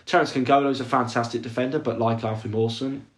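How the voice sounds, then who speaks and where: A man's voice: medium pitch, fairly even intonation. A man co-hosting a podcast, chatting casually and talking through his views at length, often breaking off into asides. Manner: opinionated, quick and talkative, trails into asides. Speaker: podcast co-host. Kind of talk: casual football chat.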